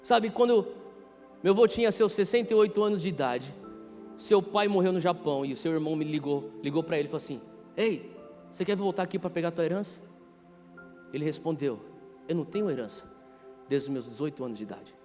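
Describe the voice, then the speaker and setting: A Brazilian man in his thirties preaching a sermon, emphatic, repeating key phrases and swinging between hushed and loud delivery. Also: on the high side, animated, fairly quick, pauses often. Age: 30s. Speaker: pastor preaching. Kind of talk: impassioned sermon. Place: large reverberant church hall. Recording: reverberant hall PA, music underneath. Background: music.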